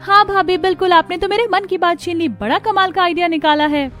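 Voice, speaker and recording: A woman voicing an excitable, admiring village woman in a cartoon story. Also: very high voice, brisk, soft background music, pitched above the performer's own voice. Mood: excited.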